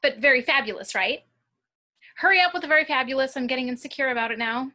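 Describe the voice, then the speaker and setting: A woman's voice: high-pitched, quick pace, pushed and bright. A woman playing a bubbly, brash female college student in an amateur table read. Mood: brash, playful.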